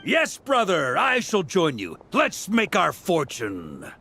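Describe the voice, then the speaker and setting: A man's voice: high voice, wildly swooping pitch, slow pace, sharp tone, faint music behind, heavily acted. A man playing an upbeat, playful young adventurer who reasons things out in a video game. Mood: playful, mock-heroic.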